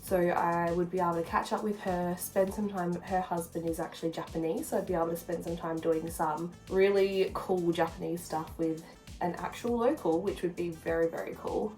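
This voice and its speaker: A woman with a slightly low voice talks casually, as if filming a personal vlog. She rambles in an informal, confiding way. Faint music plays behind her. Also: fairly even intonation, normal speed, darkish tone, rough recording. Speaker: vlogger. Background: background music.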